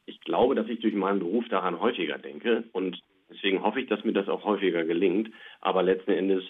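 A man's voice: low voice, fast talker, slightly rough. A man speaking over the telephone as an expert guest, explaining things simply and plainly with little spoken examples. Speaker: child psychiatrist, expert guest. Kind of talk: explanatory interview over the phone. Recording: tinny, narrow phone line.

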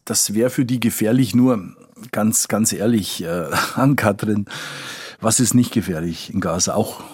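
A man's voice: fairly low pitch, unhurried, breathy edge, no echo, clean studio sound. A man working as a correspondent, explaining things conversationally in an interview and repeating words for emphasis. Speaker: foreign correspondent.

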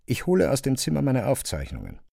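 A man's voice: mid-range voice, huge pitch swings, quick pace, gravelly, dark tone, dry recording. A man narrating a classic novel as a scripted literary reading, close to a studio microphone.

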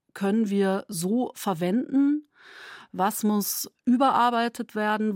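A woman speaks in an interview with a middle-pitched voice in a very dry acoustic. She explains things step by step, rephrasing as she goes. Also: dry recording. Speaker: radio drama maker interviewed about the production.

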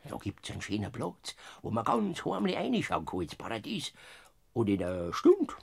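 Brief: gravelly, husky Bavarian Death, sly and coaxing, swinging pitch widely for a radio play. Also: male voice, huge pitch swings, darkish tone, full character voice. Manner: coaxing, scolding, drawn-out whispers. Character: wheedling sly old male Death figure. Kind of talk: classic radio play acting.

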